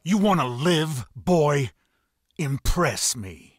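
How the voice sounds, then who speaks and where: An actor playing an imposing, menacing pirate warlord in a video game, interrogating in a domineering, commanding way. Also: male voice, on the high side, very animated, slow, no echo, clean studio sound, exaggerated voice. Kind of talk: video game villain acting.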